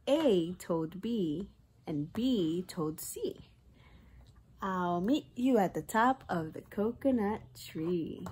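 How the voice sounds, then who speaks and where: Slow, breathy young woman reading to children. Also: mid-range voice, warm tone. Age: young adult. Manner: sing-song, addresses young children, invites joining in. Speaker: Montessori preschool teacher. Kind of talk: animated read-aloud of a children's picture book.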